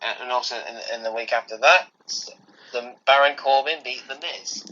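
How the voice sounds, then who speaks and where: A man's voice: medium pitch, normal speed, bright, forceful tone. A man co-hosting a wrestling talk show, chatting with frequent restarts. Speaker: podcast co-host. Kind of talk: casual wrestling chat. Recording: dull lo-fi recording with faint hiss.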